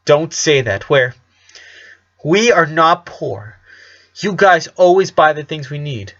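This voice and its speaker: An earnest young boy who comforts someone in a gentle, reassuring way, as a man puts him on. The voice sits fairly high, with a gravelly edge. The audio is dull and lo-fi. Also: lively intonation, slow, heavily acted. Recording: dull lo-fi recording.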